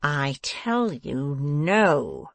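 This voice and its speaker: A man voicing a malicious male dwarf villain in a storybook, taunting and domineering, with slow emphatic menace. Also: on the high side, expressive, creaky, fairly bright tone, no echo, heavily acted. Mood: roaring, threatening. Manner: menacing, emphatic, drawn-out delivery.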